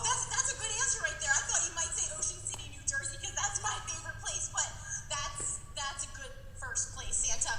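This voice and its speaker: A woman hosting a children's story time, chatting with gushing, childlike enthusiasm. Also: very high voice. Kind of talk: excited chat with Santa for children. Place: indoors on a video call. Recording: echoey, distant video call with faint music.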